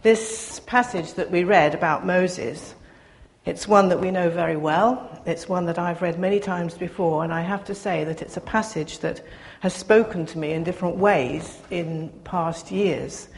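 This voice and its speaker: An older woman giving a soft-spoken, reflective personal sermon to her congregation, pausing often as she speaks. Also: on the low side. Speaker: preacher.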